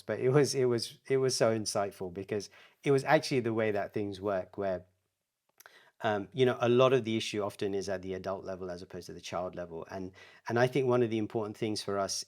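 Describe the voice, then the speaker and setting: Low-voiced man answering questions. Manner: thoughtful, hesitant, many restarts and fillers. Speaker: speaker answering parenting questions. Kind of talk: live online Q&A talk.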